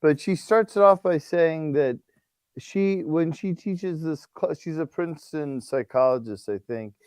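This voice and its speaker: Higher-voiced priest telling stories with a mellow tone. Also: male voice, a little slow, smooth, no echo. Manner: animated storyteller who laughs often. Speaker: priest and guest author. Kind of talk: casual livestream conversation.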